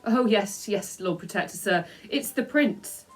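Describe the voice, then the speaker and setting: A woman's voice: medium pitch, animated, measured pace, faint music behind, close to an everyday voice. A woman voicing a chatty old nanny for a children's storybook, nervous, cheeky and blunt. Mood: nervous, chirpy. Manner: chirpy, flustered, blunt.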